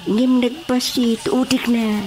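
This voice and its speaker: A man's voice: very high voice, little pitch movement, slow pace, over loud music. A man with an Ilocano accent playing a teasing, drawling village chairman in a radio drama comedy. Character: teasing, slow-talking village chairman, comically mocking.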